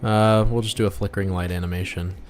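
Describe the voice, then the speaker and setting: A man streaming as a game developer and artist, chatting casually and muttering in a low-key way as he works. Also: steady tone, quick pace, darkish tone, soft background music. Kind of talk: casual livestream chat while drawing.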